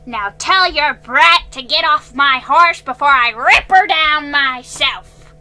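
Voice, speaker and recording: A woman voicing a gruff, mean old man who shouts and snarls at a family, in a children's storybook reading. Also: sits high, slow, pitched above the performer's own voice, exaggerated voice. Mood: angry shouting. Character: gruff, mean old male horse owner. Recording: faint music behind.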